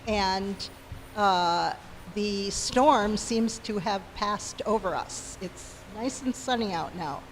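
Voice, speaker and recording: A woman's voice: medium pitch, slow pace. A woman giving an informal live announcement to an audience as a member of a community group. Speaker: arts group member addressing livestream audience. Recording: noisy live venue audio.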